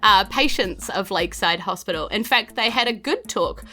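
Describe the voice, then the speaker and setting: A woman's voice: mid-range voice, expressive, normal speed, sharp tone, faint music behind. A woman with a New Zealand accent narrating a tabletop role-playing podcast as game master, with playful, theatrical storytelling.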